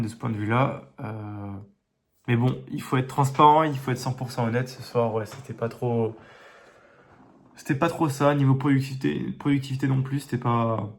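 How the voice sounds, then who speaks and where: A young man talking casually to his phone camera, rambling with many fillers and restarts, stuffy from a cold. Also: medium pitch. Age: young adult. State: congested with a cold. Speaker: vlogger. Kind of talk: casual vlog diary. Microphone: phone held facing him.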